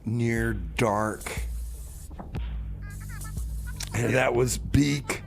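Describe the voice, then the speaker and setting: A man's voice: mid-range voice, extremely slow, vocal fry. A man hosting a community radio music show in a casual, informal way, with bits of comic banter. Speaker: radio host. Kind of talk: music show presenting with improvised comedy banter.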